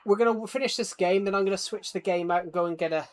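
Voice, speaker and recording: A man's voice: high-pitched, very fast. A man chatting casually on a gaming livestream, rambling and self-deprecating, often stammering and repeating his words. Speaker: live streamer. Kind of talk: casual livestream chat while gaming, reading out viewer comments.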